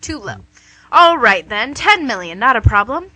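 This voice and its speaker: A woman's voice: a little high, big pitch swings, normal speed, bright, forceful tone, dry recording, full character voice, low-quality recording. A woman playing the Devil in a comic radio play as a smug, teasing, chatty salesperson. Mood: pushy, wheeling-dealing. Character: the Devil, wheeling-dealing, smug, teasing tempter. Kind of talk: radio play comedy acting.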